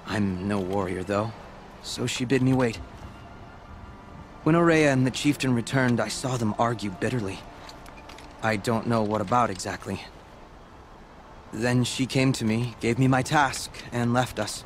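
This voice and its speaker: An actor playing a humble, earnest apprentice shaman in a video game, speaking in a measured, solemn way. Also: medium pitch, a little hiss or hum, natural voice. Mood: humble, regretful. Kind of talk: video game dialogue acting.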